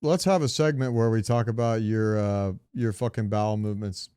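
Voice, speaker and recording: A man's voice: on the low side, fairly even intonation, moderate pace, dark tone, clear, resonant tone, no echo, clean studio sound. A man co-hosting a podcast, chatting casually with informal, opinionated, sweary talk. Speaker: podcast co-host. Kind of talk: casual chat about comic book movies.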